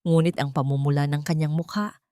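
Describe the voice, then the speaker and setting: A woman's voice: low-pitched, steady tone, brisk, mellow, clear, resonant tone, no room sound, studio quality. A woman reading a scripted, dramatic story as its narrator.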